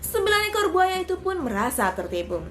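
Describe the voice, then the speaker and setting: A woman's voice: very high-pitched, very animated, fairly quick, a bit bright, soft background music. A woman storyteller speaking to young children in a motherly, instructive way.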